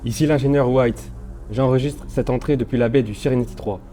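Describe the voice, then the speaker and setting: A young man playing a steady spaceship engineer in a sci-fi audio drama, instructive and reassuring. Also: medium pitch, brisk, faint music behind, natural voice. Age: young adult. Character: male ship's engineer, steady, reassuring briefer.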